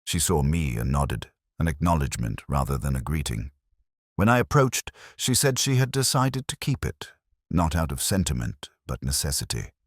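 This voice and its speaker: Man playing a very deep, brooding police inspector. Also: very animated, dry recording, clean studio sound, full character voice. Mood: weary, reflective. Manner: measured, literary, brooding delivery. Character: weary, melancholy, reflective middle-aged male police inspector. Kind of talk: first-person scripted detective drama narration.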